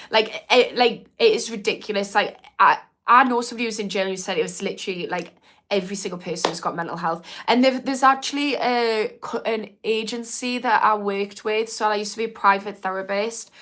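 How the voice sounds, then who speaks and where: A woman's voice: medium pitch, a little fast, a bit bright. A woman in her thirties with a mild Newcastle accent, chatting frankly on a livestream, advising viewers and reading out their comments. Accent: mild northern English (Newcastle). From Newcastle, England. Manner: frank, chatty, lots of "like" fillers. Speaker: mindset livestream host and former therapist.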